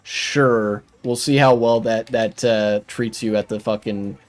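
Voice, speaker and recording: A man's voice: lowish voice, steady tone, a little slow, faint music behind. Hesitant man in casual stream chat. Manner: hesitant, filler-heavy.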